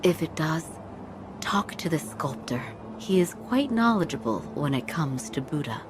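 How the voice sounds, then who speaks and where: A woman voicing a composed healer who speaks calmly and politely. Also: sits low, noisy background. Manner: measured, polite, calm.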